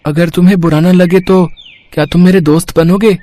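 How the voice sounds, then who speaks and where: A man voicing a gentle young boy who is a god in disguise, speaking kindly and encouragingly in a storybook tale. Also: sits high, fairly even intonation, fairly quick, close to an everyday voice. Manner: kind, encouraging.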